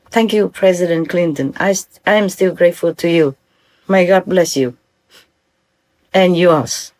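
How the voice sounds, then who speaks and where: A woman's voice: fairly low pitch, a little mellow. An older woman from Vietnam with a Vietnamese accent, giving an earnest, informal talk as a spiritual teacher and often checking that her listeners follow.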